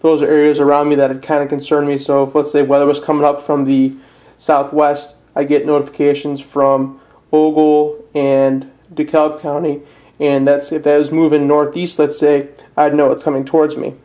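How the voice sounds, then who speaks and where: A man's voice: a little high, monotone, normal speed, warm tone. A young man with a Midwestern American accent giving a casual, somewhat hesitant step-by-step tutorial in plain words. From northern Illinois, USA. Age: young adult. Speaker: amateur how-to presenter. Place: indoors at a desk.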